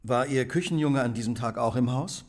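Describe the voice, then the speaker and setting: A man playing a keen gentleman detective in a radio crime play. He has a mid-pitched voice, recorded dry, and probes with precise questions. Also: a little fast, dry recording, lightly acted. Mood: probing. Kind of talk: radio drama acting.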